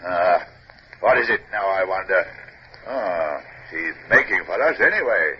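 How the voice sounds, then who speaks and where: A dull-sounding old radio recording with light hiss features a British first officer. He swings widely in pitch, hearty and exclamatory, blunt yet loyal and respectful. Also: expressive, measured pace. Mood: hearty, grumbling. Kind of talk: 1950s radio drama acting.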